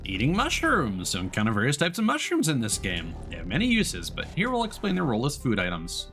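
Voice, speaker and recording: A male streamer with a mid-range, animated voice gives let's play commentary. He reads out game text with care and drops in relaxed asides, with game music behind him. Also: medium pitch, brisk.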